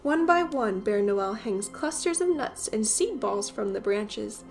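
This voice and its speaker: A woman reading a children's picture book aloud as an expressive storyteller. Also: mid-range voice, measured pace, over music.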